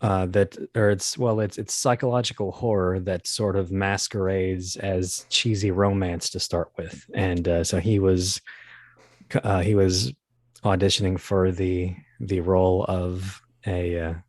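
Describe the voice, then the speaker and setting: A man chatting casually as an interview guest, telling anecdotes in a halting, thinking-aloud way. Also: low-pitched, flat delivery, no room sound. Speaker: guest, audio drama casting director.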